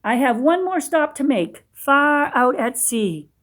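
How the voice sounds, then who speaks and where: A woman reading a storybook aloud to young children, pausing in a teacherly way to ask questions and explain things simply. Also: high voice, big pitch swings, fairly bright tone.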